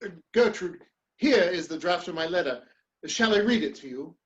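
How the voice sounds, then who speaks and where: Dignified statesman husband, played by a man, formal stage diction, high pitch with wide swings, dull lo-fi sound. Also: expressive, moderate pace. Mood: grateful. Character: earnest, dignified married gentleman politician. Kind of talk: theatrical dramatic reading of a period comedy.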